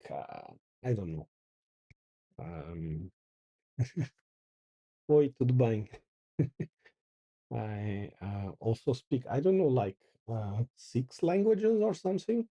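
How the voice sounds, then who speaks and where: A middle-aged man hosts a casual coding session, reading out commands and thinking aloud as he works. His voice is very dark and a little husky, with a lively, rising and falling pitch. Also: slow, long pauses. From Porto, Portugal. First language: Portuguese. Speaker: channel host. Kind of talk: casual live coding commentary.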